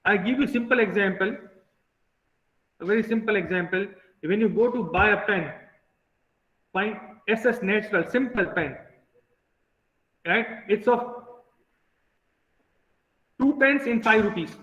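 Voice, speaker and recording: A man's voice: high-pitched, unhurried, pauses often, a bit bright. A man with an Indian accent giving classroom instruction as an English teacher, often checking with a short question. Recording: tinny phone-like audio, echoey room.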